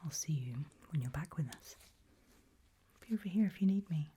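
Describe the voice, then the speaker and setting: Low whispery woman doing soft asmr mortician roleplay, slow n breathy, bit of hiss behind it. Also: lively intonation. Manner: gentle, unhurried, soothing murmur. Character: calm, caring mortician tending the soon-to-be reanimated dead.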